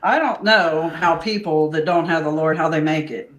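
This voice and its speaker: A woman's voice: low-pitched, moderate pace, fairly bright tone. Older woman, homey host, earnest chatter that turns preachy, roomy kitchen sound. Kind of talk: casual chat while cooking. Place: home kitchen.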